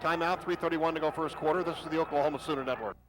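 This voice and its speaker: Brief: male radio play-by-play caller, higher voice, noisy broadcast. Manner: rapid excited calls rising to shouts on big plays. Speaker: play-by-play announcer.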